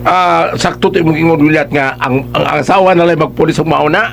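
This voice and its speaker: A man's voice: on the high side, brisk, vocal fry, slight background noise. A man with a Cebuano accent giving conversational, emphatic advice as a radio host.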